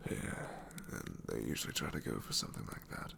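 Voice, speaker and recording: A man voicing a sassy male shadow demon in an ASMR roleplay, snarky and rambling with soft whispered asides. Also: extremely low pitch, lively intonation, brisk, creaky, heavily acted.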